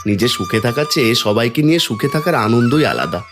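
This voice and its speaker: A man's voice: medium pitch, sing-song, brisk, close to an everyday voice. A man playing a humble, forgiving village farmer in a cartoon folk tale, speaking earnestly and with moral conviction. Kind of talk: Bengali cartoon voice acting. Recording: music behind the voice.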